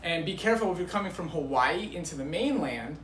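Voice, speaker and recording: A man's voice: fairly high pitch, expressive, fast, a bit bright. Male guest, American, enthusiastic casual explainer, upbeat delivery, strong room echo and slight background noise. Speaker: guest, diabetes coach. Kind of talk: casual chat with practical tips. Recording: echoey room with faint noise.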